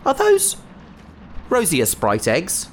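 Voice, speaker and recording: Lively, gentle spaceship scientist. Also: female voice, on the low side, slow, slight background noise, heavily acted. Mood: delighted, grateful. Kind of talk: sci-fi audio drama acting.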